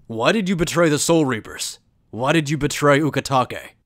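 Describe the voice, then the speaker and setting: A man playing an earnest, suspicious soldier in an anime fan dub, stern and confrontational, with gravel in his voice. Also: fairly high pitch, moderate pace, no room sound, studio quality, natural voice.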